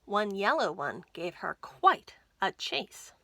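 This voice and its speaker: A woman in her thirties reading a picture book aloud to children, with careful, expressive storytelling. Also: medium pitch, vocal fry, no room sound. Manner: slow, careful, expressive delivery for young children. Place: small indoor room lined with bookshelves.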